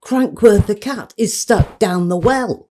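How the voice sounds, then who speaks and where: A woman voicing a loud, frantic male moth in a children's story, flustered and urgent. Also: medium pitch, slow, background noise, pitched above the performer's own voice, natural voice.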